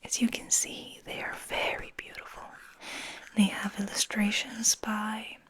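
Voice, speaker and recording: A woman hosting ASMR in a breathy whisper, speaking in a soft, soothing, unhurried manner. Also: mid-range voice, monotone. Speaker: ASMR host.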